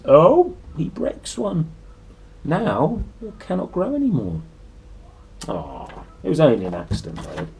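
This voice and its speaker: A young man reads a children's story slowly, his pitch rising and falling widely. He pauses for drama and adds little asides of wonder. Faint room noise sits behind his voice. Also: poor audio. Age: young adult. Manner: animated, dramatic pauses, soft exclamations. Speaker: storybook reader and presenter. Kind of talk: expressive children's picture-book reading with asides. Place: small indoor room.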